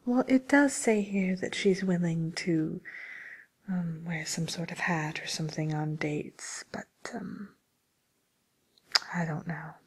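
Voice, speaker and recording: A polite, attentive matchmaker for the wicked, played by a woman in an ASMR fantasy roleplay. She speaks in a hushed, very breathy whisper, slightly low and slow. Her delivery is gentle and hesitant, like courteous customer service, caught close to the microphone. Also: exaggerated voice, poor audio. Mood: politely helpful.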